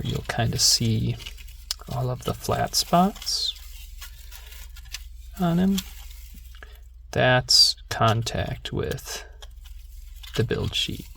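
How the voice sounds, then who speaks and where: Hushed, slow ASMR voice with creaky edges. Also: mid-range voice, a little hiss or hum. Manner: hushed, gentle, unhurried, drifting into whisper. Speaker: ASMR video creator and host. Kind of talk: soft-spoken ASMR show-and-tell.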